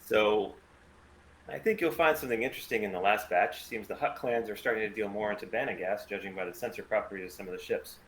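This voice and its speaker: A man's voice: fairly low pitch, quick pace, a bit bright, a little hiss or hum, lightly acted, poor audio. A man playing a wry mercenary starship pilot in a script table read, with a dry, casual, sardonic way of talking.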